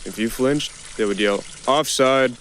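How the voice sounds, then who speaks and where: A man's voice: fairly low pitch, normal speed, slight background noise. A man performing wry, theatrical first-person narration in an audio drama. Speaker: actor performing a one-man audio play.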